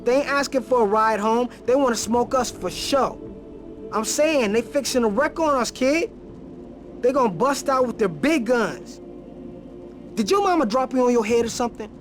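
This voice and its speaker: Tough slangy street fighter for a game, really high male voice, blunt and brash, bit of music under it. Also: moderate pace. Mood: urgent scolding. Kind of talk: video game cutscene acting.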